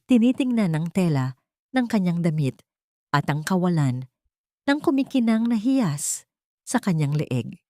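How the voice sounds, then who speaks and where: Low-voiced woman narrating a dramatic story, dry sound. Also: a little mellow, no room sound.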